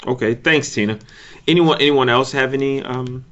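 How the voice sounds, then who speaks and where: A man chairing a conference call, giving informal updates in a halting, thinking-aloud way. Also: medium pitch, a bit bright. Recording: dull lo-fi conference call audio.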